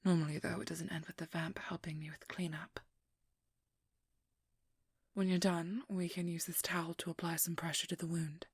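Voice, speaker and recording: Woman acting as determined female vampire hunter, ASMR roleplay, slightly low pitch, very dry close sound, soft, exasperated yet caring. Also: little pitch movement, normal speed, long pauses, dry recording. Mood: matter-of-fact, caring. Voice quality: soft, breathy, often whispered. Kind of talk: ASMR audio roleplay, intimate dramatic acting.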